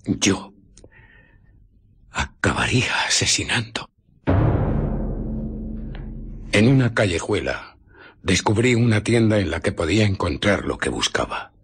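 An actor playing an embittered invisible scientist in a radio drama, recounting furtive exploits with deliberate, dramatic pauses and a breathy voice that slips into whispers. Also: male voice, low-pitched, sing-song, fairly bright tone, exaggerated voice. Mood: brooding. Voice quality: husky, breathy voice slipping into whispers. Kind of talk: radio drama narration and dialogue.

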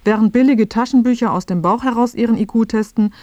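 A woman's voice: on the high side, fast talker, a little mellow, no echo. A woman reading scripted narration for a radio feature in a matter-of-fact way.

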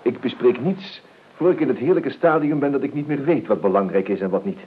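An actor playing a nervous, evasive, eccentric gentleman in a radio play, stammering and repeating himself with ironic courtesy. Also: mid-range voice, fairly quick, husky, lightly acted. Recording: tinny, band-limited old radio recording with noise.

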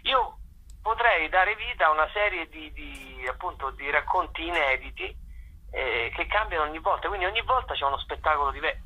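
A man speaking as a theatre guest in an informal radio interview, explaining enthusiastically in halting, repeated phrases. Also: fairly high pitch, animated, bright, forceful tone, breathy edge, lo-fi sound.

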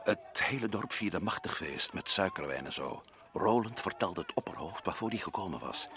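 A man voicing a shrewd expedition leader in an old radio play, low-pitched and commanding. His speech swings widely in pitch, from hushed, secretive asides to urgent orders. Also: lively intonation, normal speed, slight background noise. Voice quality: low voice, drops to hushed whispers. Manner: conspiratorial, commanding. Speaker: adventurer in Africa.

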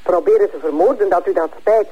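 A woman with a Flemish accent and a husky voice, questioning someone in a halting, accusatory way as an interrogator. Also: fairly low pitch, highs cut off.

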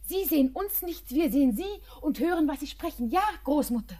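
An actress playing a tender, dreamy young granddaughter in a poetic radio drama. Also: female voice, high-pitched, expressive, fairly quick, dark tone. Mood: dreamy, eager. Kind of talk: poetic German radio play acting.

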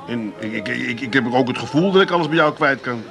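A man's voice: medium pitch, lively intonation, a little fast, gravelly, a crowd in the background. An actor playing a touchy, hesitant working-class man who stammers, in a comic radio play. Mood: dejected. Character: touchy, hesitant, henpecked working-class Amsterdam son-in-law.

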